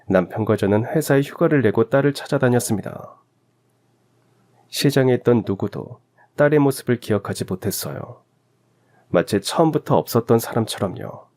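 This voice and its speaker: A man's voice: lowish voice, darkish tone. A man reading a scripted first-person story as a radio narrator.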